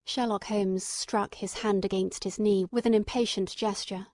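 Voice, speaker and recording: A woman's voice: mid-range voice, fairly even intonation, moderate pace, darkish tone, no echo. Woman narrator, dry studio-like sound. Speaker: audiobook narrator. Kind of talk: scripted literary narration.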